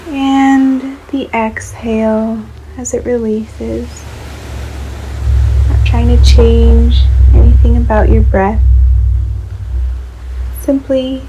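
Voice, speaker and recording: A woman leading a guided relaxation meditation in a soothing, unhurried manner, leaving long pauses between short phrases. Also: fairly high pitch, vocal fry, background noise.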